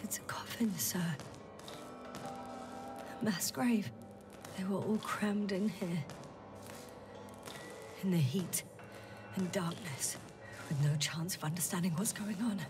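A woman's voice: lowish voice, slow, long pauses, recorded from a distance, music in the background. An actress playing a weary woman scientist on an alien planet in a video game, speaking in a solemn, murmured way. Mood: horrified, sombre. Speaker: space mission crew doctor. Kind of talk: dramatic video game voice acting.

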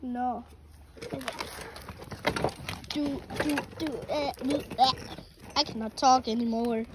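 Woman vlogger, high voice, slow unhurried delivery, playful and rambling, noisy outdoor audio. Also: steady tone. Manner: playful, rambling, stumbles over words. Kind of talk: casual vlog chat.

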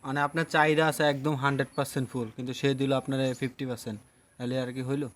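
A man's voice: medium pitch, flat delivery, quick pace, fairly bright tone. A man with a Bangladeshi accent, working as an interviewer and asking casual questions.

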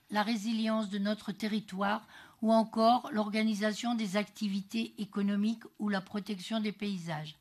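A woman with a French accent from France delivers a formal, prepared political speech as an elected councillor, in a measured manner with occasional stumbles. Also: mid-range voice, darkish tone. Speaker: elected metropolitan councillor, group co-president.

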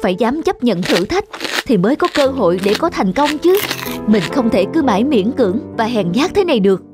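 A woman's voice: on the high side, sing-song, a little fast, music in the background. A woman voicing an earnest young husband in a cartoon drama, with a heartfelt delivery. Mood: resolute.

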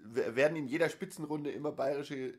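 A man's voice: a little high, fairly quick. Man chatting with dry jokes and fillers. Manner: rambling, dry jokes, many fillers and restarts. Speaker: podcast co-host. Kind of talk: casual chat.

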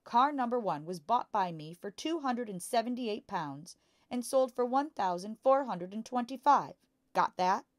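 A woman voicing a boastful, bullying father who deals in crooked cars, in a loud, hectoring manner for a storybook. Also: on the high side, expressive, normal speed, no echo, studio quality, pitched above the performer's own voice, close to an everyday voice. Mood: brisk, commanding.

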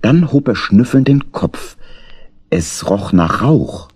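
A man's voice: medium pitch, animated, slow pace, darkish tone, breathy edge, dry recording. A man narrating a children's story in an audio drama as a scripted storyteller.

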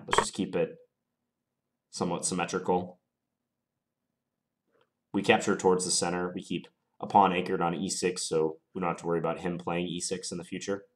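A man with a slight cold, thinking aloud in a calm, measured way as he plays and comments on an online chess game. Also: deep voice.

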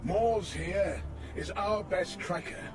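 An actor playing a stern old lord commander in a fantasy game, giving grave, formal oratory. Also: male voice, high-pitched, slow pace, over music. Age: older. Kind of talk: dramatic fantasy game acting.

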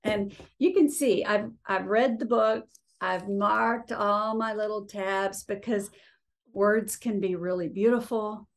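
A woman hosting an interview as a cookbook publisher, asking measured questions over a video call from her living room. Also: medium pitch. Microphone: laptop webcam on video call.

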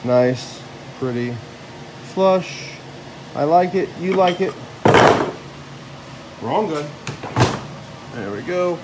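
A man's voice: on the high side, very slow, pauses often, very noisy. A tired man in his thirties joking his way through a woodworking how-to in his home garage. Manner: joking, self-mocking, talks while working. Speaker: vlogger building a squirrel table. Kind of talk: casual how-to woodworking vlog. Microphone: handheld phone camera.

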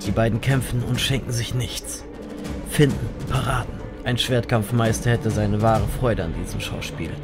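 A man's voice: lowish voice, normal speed, loud music behind the voice, natural voice. A man playing a grim, wry reaper ferryman who narrates a fantasy horror audio drama. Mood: tense, grave.

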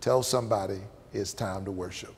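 Pastor in a reverberant hall. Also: male voice, fairly low pitch, brisk, very noisy. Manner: warm preacherly cadence, stretched phrases. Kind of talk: pastoral welcome and announcements. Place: indoors, church hall with blurred backdrop. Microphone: lapel.

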